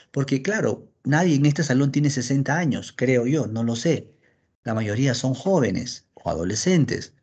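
A man teaching a philosophy class over a video call, lively and acting out his students' questions. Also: medium pitch, slightly rough. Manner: animated, acts out students' questions. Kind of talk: online class lecture. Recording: dull lo-fi call audio.

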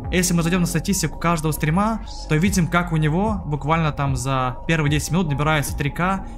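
A man's voice: on the high side, fast, over music. A man on stream walking viewers through statistics on screen. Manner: pointing things out to viewers. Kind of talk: explanatory stream talk.

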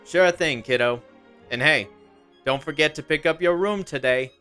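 A man playing a chipper, folksy dad making a sales pitch in a scripted radio ad. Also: normal speed, pushed and bright, clean tone, faint music behind. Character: chipper dad pitching to his young son.

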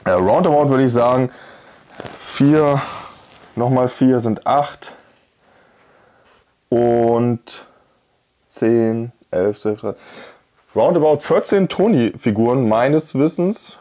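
A man's voice: mid-range voice, takes it slowly, pauses often, warm tone. A man, chattily presenting and demonstrating products in a casual video review on a clip-on mic. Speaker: YouTube host, product reviewer. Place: small indoor room with plain wall. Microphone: clip-on lavalier on shirt.

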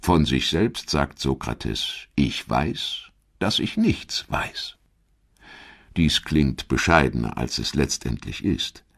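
A man with an exceptionally low voice delivers scripted documentary narration. His storytelling is measured and articulate, with lively, wide-ranging intonation that rises and falls through the sentences. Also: creaky.

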